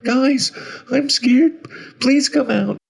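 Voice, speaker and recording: A man's voice: high voice, animated, unhurried, gravelly, breathy edge, pitched above the performer's own voice, full character voice. A man voicing the ghost of a lonely, abandoned little boy in a horror story, plaintive and pleading. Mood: scared.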